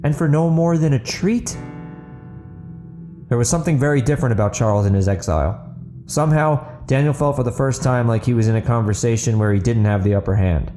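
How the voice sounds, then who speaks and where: Male narrator for audio fiction, relaxed tone, light guitar bed. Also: medium pitch, quick pace. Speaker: author narrating his audio book podcast. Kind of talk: scripted storytelling narration.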